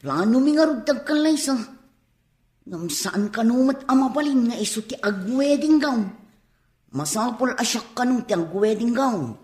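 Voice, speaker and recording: A woman's voice: fairly high pitch, lively intonation, unhurried, a little mellow. A woman playing an earnest grown child pleading with a parent in a radio drama. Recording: roomy radio drama with music.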